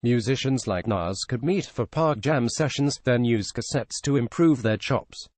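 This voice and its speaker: A man reading a feature article aloud as scripted narration, in a measured journalistic delivery. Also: medium pitch, lively intonation, a little mellow, dry recording.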